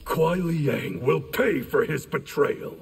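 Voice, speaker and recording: An actor playing a proud, defiant warrior in a fighting game, with a husky, gravelly voice and curt delivery. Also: male voice, fairly high pitch, expressive, slow, in a large hall, heavily acted. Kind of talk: fighting game character dialogue.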